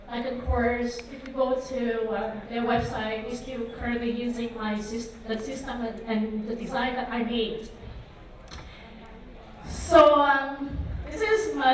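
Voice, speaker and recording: A woman in her thirties from Vietnam speaks at a tech conference in a bright, high voice. She talks in a hesitant way, full of ums and likes. Her voice comes from some distance and rings around a very reverberant hall. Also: slight background noise. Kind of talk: conference talk. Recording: echoey hall, mic far off.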